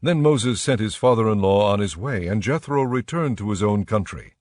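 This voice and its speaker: A man narrating scripture for a dramatized audio production in a measured, formal storytelling manner. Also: lowish voice, animated. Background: soft music.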